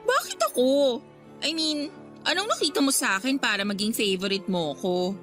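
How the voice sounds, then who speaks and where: A woman's voice: high voice, sing-song, normal speed, fairly bright tone, soft background music. A woman playing a chirpy, eager teenage schoolgirl in a scripted radio drama. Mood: eager, flattered.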